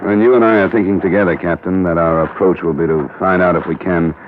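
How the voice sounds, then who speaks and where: An American actor playing a tough, level-headed insurance investigator in an old radio drama, narrating in a clipped, hard-boiled, matter-of-fact way. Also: male voice, sits low, steady tone, quick pace, noisy background, natural voice. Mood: measured, serious. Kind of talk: 1940s-50s radio detective acting, first-person narration. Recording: muffled vintage radio recording with music.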